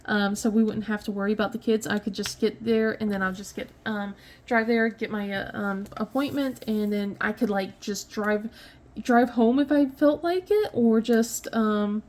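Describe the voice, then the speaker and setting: A woman's voice: mid-range voice, normal speed, darkish tone. A woman in her thirties chatting casually as a crafting vlogger, rambling with many fillers and restarts, a little sniffly. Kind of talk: casual chat while diamond painting.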